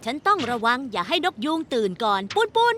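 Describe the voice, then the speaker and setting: A chirpy robot doctor bus. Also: female voice, on the high side, very animated, quick pace, background noise. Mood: eager. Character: cheerful robot doctor bus, helpful and kind. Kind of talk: Thai cartoon dub. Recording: loud music under the voice.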